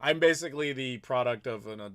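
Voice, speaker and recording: A man's voice: medium pitch, fast, a bit bright. A man in his thirties hosting a casual live Q&A, chatting loosely and reading viewer messages aloud. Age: 30s. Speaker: YouTuber host.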